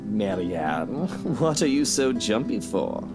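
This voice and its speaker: An actor playing a haughty, mocking wealthy mansion owner in a visual novel game, with a theatrical, condescending manner. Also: male voice, on the low side, very animated, takes it slowly, full character voice. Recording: game music underneath.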